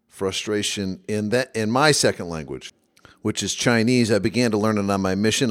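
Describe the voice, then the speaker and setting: Thoughtful man hosting a podcast. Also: on the low side. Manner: thoughtful, conversational, occasionally wry. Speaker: podcast host. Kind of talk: reflective solo podcast monologue.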